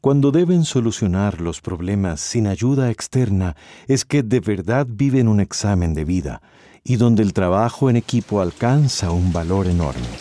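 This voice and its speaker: An actor narrating a radio drama as a solemn, reflective storyteller with measured phrasing. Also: male voice, lowish voice, lively intonation, mellow, no echo, close to an everyday voice.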